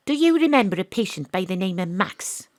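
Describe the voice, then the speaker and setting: An actress playing a wry, world-weary private detective, deadpan and confiding, in noir audio drama style. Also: female voice, medium pitch, brisk, no room sound, studio quality, lightly acted. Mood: guarded, dry. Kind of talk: noir detective narration and dialogue.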